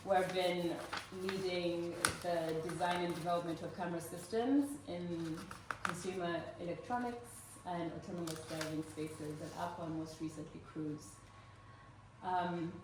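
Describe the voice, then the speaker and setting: A woman in her forties from Uganda speaks earnestly to a gathered audience, pausing often on "um". Her voice sits fairly low and reaches the mic from a distance. The room throws back a strong echo, with faint noise underneath. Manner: earnest and warm, with frequent "um" fillers. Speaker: speaker at a fundraising event. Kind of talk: personal story told to a live audience. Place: event room with a live audience.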